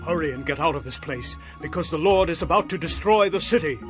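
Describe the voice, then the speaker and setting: A humble, anxious man, played by an actor, pleads earnestly in a fairly high male voice. Music sits behind this biblical drama performance. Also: lively intonation, a little fast, close to an everyday voice. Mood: urgent. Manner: earnest, imploring. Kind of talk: dramatized scripture audio drama. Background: background music.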